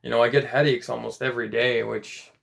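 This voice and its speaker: A man in his late twenties talking in a casual personal vlog, rambling and halting, with many restarts and fillers. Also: mid-range voice, level tone, vocal fry, fairly bright tone. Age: 20s. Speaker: vlogger.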